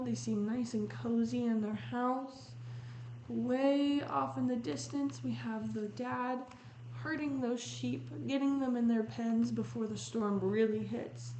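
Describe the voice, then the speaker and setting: Slow-paced woman reading a storybook in echoey room. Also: fairly high pitch, warm tone. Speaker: read-aloud host. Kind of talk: storybook read-aloud with commentary on pictures. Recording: roomy echo with faint noise.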